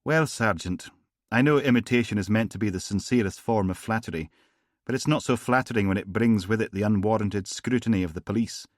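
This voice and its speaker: A man voicing a smooth, smiling gangster boss with an Edinburgh accent, genteel and falsely courteous. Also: on the low side, fairly quick, no room sound, clean studio sound, close to an everyday voice. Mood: silky. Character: smooth, smiling male gangster boss, middle-aged, menacing. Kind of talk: audiobook character voice.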